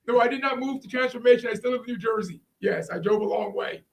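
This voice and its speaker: A man's voice: very high-pitched, fast, vocal fry. A man co-hosting a talk show with playful, teasing banter, heard at a distance on a noisy recording with clicks.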